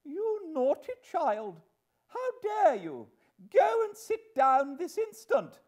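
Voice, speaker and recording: A man's voice: extremely high pitch, wildly swooping pitch, slow, a little mellow, voice pushed up, exaggerated voice. A man voicing a haughty, easily affronted society mother in an audiobook, with an imperious, petulant and exclamatory delivery. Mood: scolding. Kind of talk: audiobook character voice.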